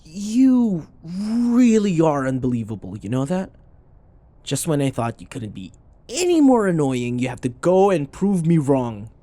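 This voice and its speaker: A man's voice: a little high, big pitch swings, a little slow, mellow. A man playing a sarcastic, irritable office rival who taunts and scoffs, in a scripted boyfriend audio roleplay. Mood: annoyed. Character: snarky, irritable male office rival, teasing and combative.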